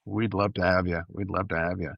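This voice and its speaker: A man's voice: low-pitched, level tone, brisk, creaky, no room sound, lo-fi sound. A man hosting a casual fan discussion, effusive and emphatic, often repeating words for emphasis. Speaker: podcast host.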